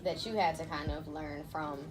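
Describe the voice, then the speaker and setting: A woman's voice: sits low, fairly even intonation, normal speed, low-quality recording. A woman hosting a casual conversational interview, asking probing questions informally and thinking aloud. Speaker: interviewer and host. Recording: prominent music under speech. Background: music.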